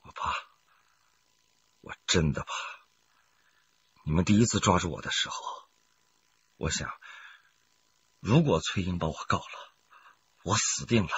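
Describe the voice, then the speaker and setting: An actor playing a frightened, remorseful murder suspect making an agitated, halting confession in a radio crime drama. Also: male voice, low voice, fairly bright tone. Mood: fearful remorse. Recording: dull lo-fi sound, faint noise.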